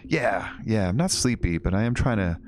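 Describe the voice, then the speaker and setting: A young man giving relaxed, deliberately quiet commentary while streaming a game. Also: fairly low pitch, slight background noise. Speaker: gaming streamer. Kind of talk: casual let's-play commentary. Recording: game music in background.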